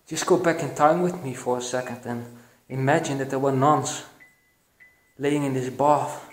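A young man with a Belgian accent narrating his exploration of an abandoned building to his vlog audience, excited and hushed, with many fillers, recorded on an action camera. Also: mid-range voice, normal speed, a little hiss or hum, poor audio. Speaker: urban explorer vlogger. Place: abandoned building, echoey halls and attic. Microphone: action camera, handheld or body-worn.

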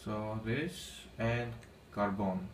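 A man whose first language is Armenian, giving casual commentary on his gameplay in halting English with many fillers. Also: fairly low pitch. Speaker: gaming channel host. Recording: echoey room, distant mic, faint noise.

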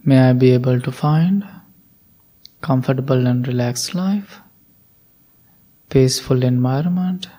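Slow, soft-spoken male meditation guide. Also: medium pitch. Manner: soft, calm instructions with long pauses. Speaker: Buddhist monk leading meditation.

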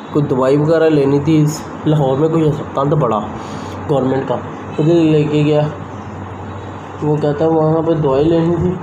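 A young man, vlogging in a casual, rambling way to a phone held at arm's length, first on a rooftop and then in a small room. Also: fairly high pitch. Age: young adult. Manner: rambling, conversational, talks straight to the camera. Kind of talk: casual vlog chat. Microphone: handheld phone camera at arm's length. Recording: noisy phone recording with outdoor ambience.

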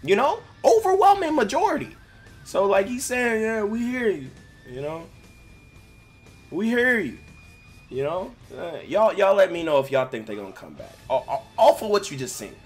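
A man's voice: high voice, big pitch swings, moderate pace, breathy edge. A young American man giving casual, sweary gaming commentary with a slangy, venting manner. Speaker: gaming video creator commenting on clips. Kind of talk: casual, profanity-laden commentary. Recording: music playing behind voice. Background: music.

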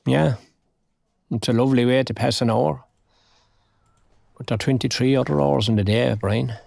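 A man's voice: mid-range voice, fairly even intonation, normal speed, creaky, a little mellow, no room sound. An actor playing a lonely, wry retired man in his sixties, with an Irish accent and a dry, wistful, understated manner in a naturalistic audio drama. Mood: wistful, resigned. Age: 60s.

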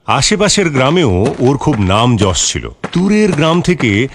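A man's voice: mid-range voice, very animated, measured pace, slight background noise. A man narrating a scripted moral story for children. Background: background music.

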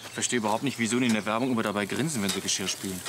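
A man's voice: fairly low pitch, rapid-fire, noisy background, natural voice. A man playing a mild, fretful, earnest friend in a German comedy radio play, a little pedantic and plaintive. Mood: wry. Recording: clear outdoor ambience with animals behind.

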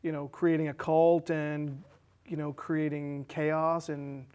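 A man in his thirties with a slightly high voice chats about film as a panelist. His delivery is conversational, picked up by a lapel mic on a small studio set. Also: flat delivery, takes it slowly, a little mellow, clear, resonant tone. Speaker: discussion panelist. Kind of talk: casual film discussion.

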